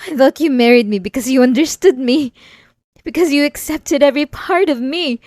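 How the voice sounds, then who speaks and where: A woman's voice: high-pitched, a little mellow, no echo, clean studio sound. A woman playing an unhinged, possessive and obsessive wife in a dramatic ASMR roleplay, intense and swinging between pleading and menace. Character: unhinged possessive, obsessive young wife confronting her husband.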